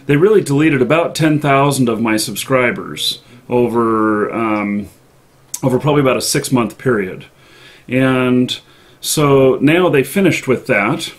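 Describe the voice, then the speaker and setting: A man hosting a live show, chatting off the cuff with callers in a polite, halting and hesitant way. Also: medium pitch. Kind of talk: unscripted call-in show chat.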